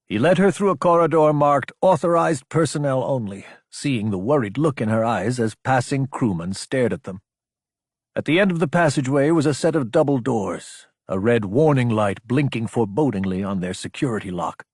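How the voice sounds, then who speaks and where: A man's voice: mid-range voice, expressive, normal speed, a little mellow, slightly rough, no echo, studio quality. A man reading scripted fiction as an audiobook narrator, in a dramatic storytelling style.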